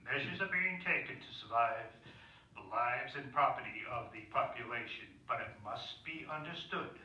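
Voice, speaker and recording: A man acting a solemn, official emergency news announcer, reading a formal old-time radio bulletin in a measured, authoritative way. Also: medium pitch, sharp tone, lo-fi sound. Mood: grave, urgent. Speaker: actor playing a radio announcer. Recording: echoey, distant, faint noise.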